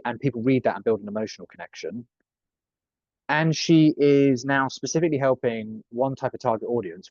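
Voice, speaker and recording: A man's voice: medium pitch, darkish tone, no room sound, lo-fi sound. A young man coaching a group on personal branding, chatty and jokey with frequent swearing and asides. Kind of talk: casual group coaching talk.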